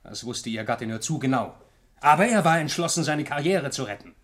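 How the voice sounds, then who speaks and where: An actor playing a shrewd, composed male investigator in a German radio crime drama, talking deliberately and probingly. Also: mid-range voice, lively intonation, fairly bright tone. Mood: coolly accusing. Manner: deliberate, probing, coolly deductive.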